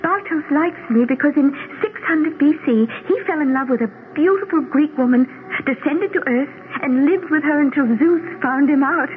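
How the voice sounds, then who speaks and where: A woman acting a gentle, affectionate dead wife's soul in a dreamy, measured 1970s radio drama style. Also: high-pitched, narrow, tinny audio, a little hiss or hum, lightly acted. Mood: tender, wistful. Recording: thin old radio broadcast, music underneath.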